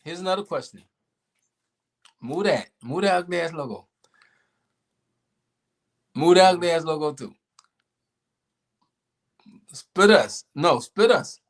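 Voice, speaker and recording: A man's voice: on the high side, slow, pauses often. A man hosting a casual podcast, laid-back and chatty, with a voice worn down from talking. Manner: laid-back, relaxed sign-off talk. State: losing his voice. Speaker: podcast host.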